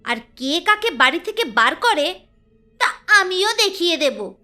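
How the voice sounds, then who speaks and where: A woman's voice: extremely high pitch, big pitch swings, measured pace, pushed and bright, faint music behind, exaggerated voice. A woman voicing a spiteful young second wife who returns as a luring ghost, with a taunting, eerie manner.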